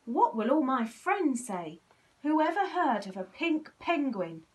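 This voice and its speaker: A woman's voice: high voice, sing-song, unhurried, voice pushed up. A woman voicing a young boy penguin in a children's storybook, polite and earnest, exclaiming with feeling. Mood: dismayed.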